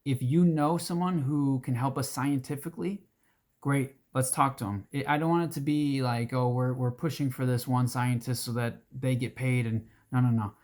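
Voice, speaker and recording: Male, hoarse and raspy, earnest, rambling advocacy organizer, mid-range pitch. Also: fairly even intonation, normal speed, darkish tone. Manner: earnest, rambling with restarts and fillers. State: hoarse, throat-clearing. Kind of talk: casual direct-to-camera talk.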